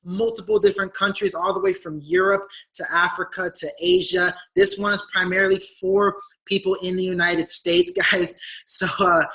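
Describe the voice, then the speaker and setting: Man, raspy, hoarse, eager sales pitch, bright, pushy. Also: animated, normal speed, rough recording. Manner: eager, salesy, keeps addressing listeners as guys. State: hoarse from talking all day. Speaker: business opportunity presenter. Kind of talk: online sales pitch presentation.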